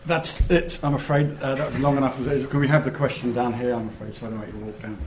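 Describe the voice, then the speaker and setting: A man chairing a question session, brisk and directive. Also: mid-range voice, flat delivery. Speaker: moderator. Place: lecture hall. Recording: roomy hall with noise.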